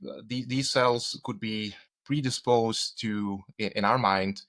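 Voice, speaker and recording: Bright-voiced man explaining in halting phrases. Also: lowish voice, fairly even intonation. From Czech Republic, grew up in Spain. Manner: hesitant, halting technical explanations. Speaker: guest, doctor and cancer researcher. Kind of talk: explanatory interview conversation.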